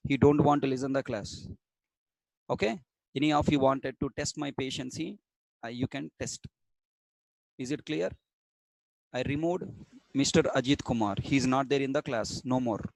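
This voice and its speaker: A man's voice: medium pitch, steady tone, normal speed, gravelly, long pauses, a little mellow, dry recording, low-quality recording. A stern man from Coastal Andhra with a Telugu-accented Indian English, lecturing an online programming class, repeating points and quizzing students. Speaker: programming instructor.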